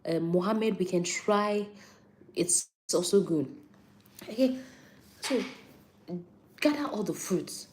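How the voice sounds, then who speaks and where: A woman's voice: mid-range voice, animated, takes it slowly, long pauses, a little mellow, a little hiss or hum. A young Nigerian woman with a Nigerian accent giving a casual live-stream health talk, repeating points for emphasis and answering viewer comments.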